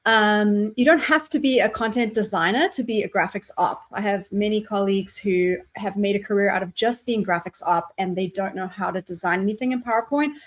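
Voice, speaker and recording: A woman's voice: medium pitch, a little fast, few pauses. South African woman explaining her job. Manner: explanatory, many "um"s and "like"s. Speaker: guest, graphics operator. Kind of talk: casual interview answers.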